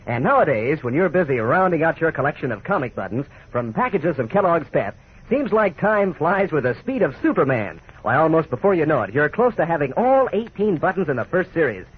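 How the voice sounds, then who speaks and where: A man's voice: a little high, big pitch swings, fairly quick, slightly rough. A man with an American accent delivering a 1940s radio commercial in an enthusiastic, folksy manner as announcer. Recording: tinny, narrow 1940s radio recording.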